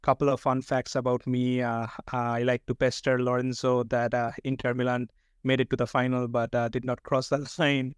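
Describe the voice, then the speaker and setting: A man's voice: mid-range voice, flat delivery, moderate pace, smooth, dry recording, studio quality. A man in his thirties from India, a medical resident introducing himself in a matter-of-fact way. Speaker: resident guest. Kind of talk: podcast self-introduction.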